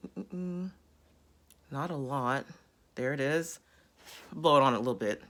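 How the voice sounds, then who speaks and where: A woman in her thirties with a raspy voice, chatting casually while she demonstrates a beauty routine close to a phone camera indoors. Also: a bass voice, slow, a bit bright. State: raspy after illness. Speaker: beauty vlogger. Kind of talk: casual how-to demo. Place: small indoor room.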